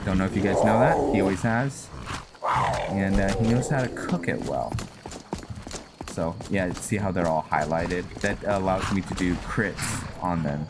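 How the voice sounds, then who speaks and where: A man's voice: deep voice, expressive, moderate pace. A man giving casual gameplay commentary, thinking aloud in short, hesitant phrases. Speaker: game streamer narrating a roleplay playthrough. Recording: loud game ambience and noise behind. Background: video game sounds, outdoor ambience.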